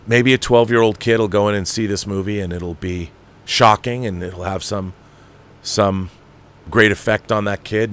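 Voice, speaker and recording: A man's voice: on the low side, a bit bright. A man delivering an unscripted film rant as a show host, emphatic, exasperated and profane, repeating phrases for emphasis. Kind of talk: ranting film commentary, unscripted.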